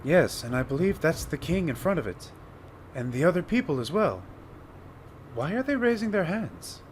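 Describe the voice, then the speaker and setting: A man's voice: a little high, big pitch swings, moderate pace, a little hiss or hum. A man playing a courteous, inquisitive scholarly doctor in an audio drama, with precise and formal wording. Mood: curious, impressed. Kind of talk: fan audio drama acting.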